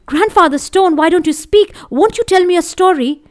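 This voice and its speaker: A woman's voice: very high-pitched, normal speed, voice pushed up. A woman voicing a curious, earnest young orphan boy in a folk tale, with an eager, questioning manner. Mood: pleading.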